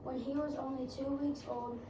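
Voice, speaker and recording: A woman's voice: sits high, little pitch movement, moderate pace. A female student reading narration aloud for a readers theater tall tale in class. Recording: echoey noisy room, distant mic.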